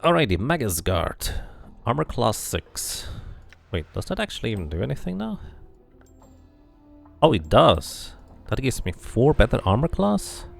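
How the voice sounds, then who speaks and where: A man's voice: fairly low pitch, gravelly. A man giving casual game commentary, thinking aloud as he plays and reading bits of game text out. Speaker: streamer playing and narrating a game.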